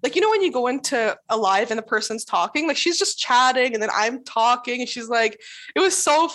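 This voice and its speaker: A woman chatting casually in an interview, talking in quick bursts full of "like" and laughing often. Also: a little high, animated, fairly bright tone. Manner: chatty, fills speech with "like". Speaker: interviewee, new employee. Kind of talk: casual conversation.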